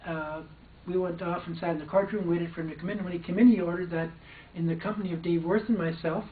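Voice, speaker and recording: A man's voice: sits high, steady tone, fast talker. An older man, a former probation officer, recounting a personal story in a hesitant way with frequent restarts. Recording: distant, echoey, phone-like sound.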